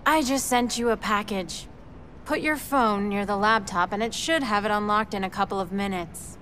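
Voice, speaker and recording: A woman's voice: mid-range voice, normal speed, a bit bright, slight background noise, natural voice. Woman playing a curt, cool young tech expert. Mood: cool, firm. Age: young adult. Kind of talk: video game dialogue.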